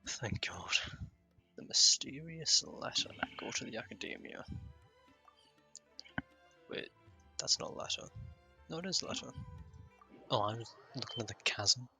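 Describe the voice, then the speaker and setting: A man's voice: mid-range voice, lively intonation, a little slow, creaky, long pauses, bright, forceful tone, in a whisper, soft background music, low-quality recording. A man live-streaming a game, giving casual, offhand commentary and muttering to himself.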